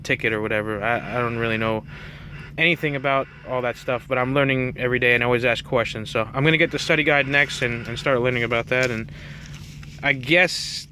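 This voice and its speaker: Bright-voiced man rambling casually. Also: mid-range voice, steady tone, fairly quick, slight background noise. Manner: rambling, conversational, self-effacing. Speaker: truck driver and CB radio hobbyist presenting his setup. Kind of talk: casual rambling vlog, unscripted.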